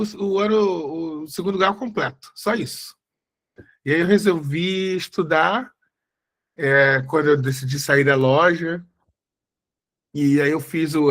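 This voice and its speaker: A Brazilian-born researcher in his forties speaks over a video call in a loose, rambling way, full of fillers and restarts. His voice sits a little high and sounds bright and forceful. He talks like someone chatting before a presentation. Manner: chatty, self-correcting, many fillers. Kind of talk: casual live talk before a slide presentation.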